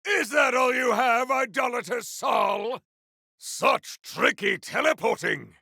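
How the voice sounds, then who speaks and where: A man voicing a proud, battle-hungry video game warrior, speaking in a theatrical, formal, declamatory manner with a gravelly, forceful edge. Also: very high voice, takes it slowly, no room sound, clean studio sound, full character voice. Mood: battle fury. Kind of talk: video game combat barks.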